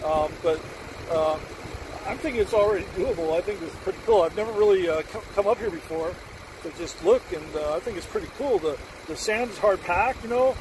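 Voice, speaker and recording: A man in his forties narrating a casual travel vlog from inside a pickup truck, relaxed and rambling with lots of filler words. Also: high-pitched, fairly quick, background noise. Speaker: RV travel vlogger. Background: background music.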